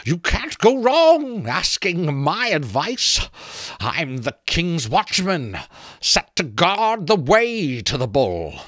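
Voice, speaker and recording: A man voicing a spiteful undead skull with a creaking, rattling voice and slow, teasing delivery, close to the mic. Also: fairly high pitch, wildly swooping pitch, fairly bright tone, no echo, pitched above the performer's own voice, exaggerated voice. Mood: taunting.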